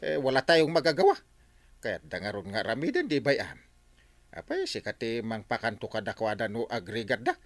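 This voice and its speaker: A man's voice: mid-range voice, big pitch swings, fairly quick. A man playing an easygoing village man, reasoning hesitantly in a comedy drama. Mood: resigned, reasoning.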